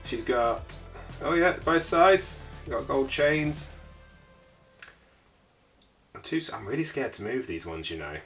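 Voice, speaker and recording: A man's voice: medium pitch, expressive, slow pace, pauses often, fairly bright tone, soft background music. A man with a congested, bunged-up voice, chatting casually as he unboxes a collectible. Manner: chatty, rambling, with frequent filler sounds. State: stuffy, congested from a cold. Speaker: toy collector channel host.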